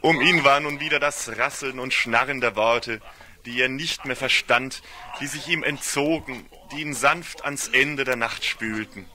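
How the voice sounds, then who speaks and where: A man's voice: mid-range voice, a little slow, bright, forceful tone. A man giving a scripted literary narration for a radio play in a solemn, ornate storytelling manner.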